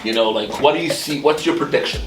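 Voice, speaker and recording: A man's voice: mid-range voice, fast talker, far from the mic. A man asking questions in a casual, chatty way as an interviewer. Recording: echoey room, off mic, faint music behind. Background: faint music.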